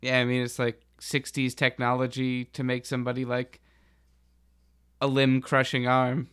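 Smooth-voiced man with an even pitch. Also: normal speed. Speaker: podcast host. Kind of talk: casual chat about a TV show.

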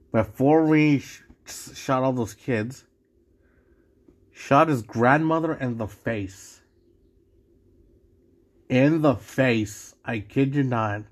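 Casual podcast host, man from Mexico, mid-pitched, loose and rambling. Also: a little mellow. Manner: rambling, many restarts and asides. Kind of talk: casual solo podcast monologue.

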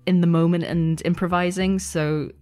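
A woman's voice: lowish voice, flat delivery, moderate pace, dark tone. A woman answering as a podcast guest and game master in a conversational, thoughtful, explanatory way.

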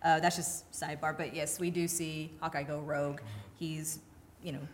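A woman's voice: low-pitched, level tone, moderate pace, slight background noise. A woman co-hosting a casual film discussion, explaining things and putting questions to the group. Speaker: podcast co-host.

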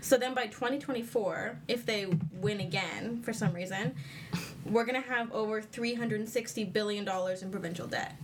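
A woman's voice: medium pitch, moderate pace, slight background noise. A woman co-hosting a podcast, explaining budget figures in a matter-of-fact, casual way. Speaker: podcast co-host. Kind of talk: reading out budget highlights, casual chat. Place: indoors around a table.

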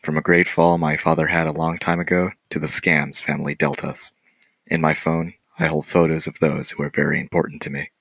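Very deep-voiced teen boy reading a poem. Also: level tone, fairly quick, vocal fry, fairly bright tone. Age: teens. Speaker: student.

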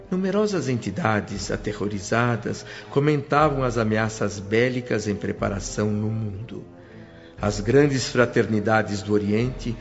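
A man narrating a radio drama in a solemn, measured way. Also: lowish voice. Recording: dull lo-fi radio recording with music.

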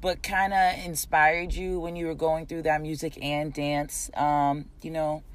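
A woman's voice: low voice, flat delivery, unhurried. A woman hosting a podcast, upbeat and chatty, asking long rambling questions full of fillers. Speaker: podcast host. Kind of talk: casual podcast interview.

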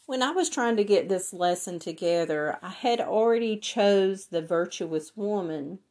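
A woman with a hoarse, raspy voice from laryngitis, teaching a Bible lesson and reading scripture in a deliberate way. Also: medium pitch, dark tone.

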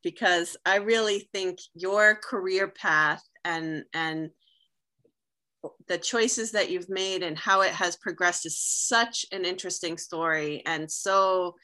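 A woman's voice: on the low side, steady tone, takes it slowly, bright, forceful tone. A woman hosting a casual interview who encourages and affirms her guest. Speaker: interview host.

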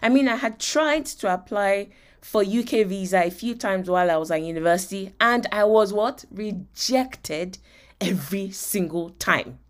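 A woman's voice: mid-range voice, normal speed. Emphatic Nigerian woman teaching on faith. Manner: emphatic, repeats phrases and checks in with listeners. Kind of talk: motivational faith teaching with personal testimony.

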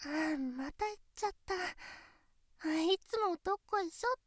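A woman's voice: extremely high pitch, lively intonation, moderate pace, dry recording. A voice actress playing a shy, sweet teenage girlfriend who stammers when flustered, in a close-miked situation voice drama. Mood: chatty.

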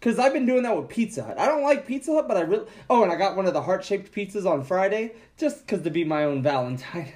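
A man co-hosting a podcast in casual chat, with a nasal voice and an excitable manner, swearing and calling people bro. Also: high-pitched. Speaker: podcast co-host.

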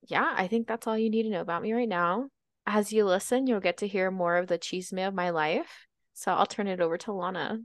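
A woman's voice: mid-range voice, no echo, clean studio sound. A young woman from Baltimore co-hosting a podcast, telling stories in a chatty, upbeat way. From Baltimore, Maryland. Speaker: podcast co-host. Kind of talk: casual chat.

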